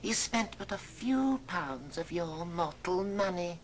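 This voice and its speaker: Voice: medium pitch, animated, takes it slowly. An actor playing a solemn, relentless ghost of Christmas past in an old radio drama. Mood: solemn probing. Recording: old record with faint noise.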